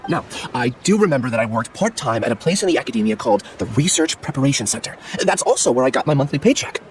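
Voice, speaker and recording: A man's voice: medium pitch, very animated, fast, a little hiss or hum, close to an everyday voice. An actor playing an apologetic, polite young male research worker in a video game. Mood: earnest, apologetic.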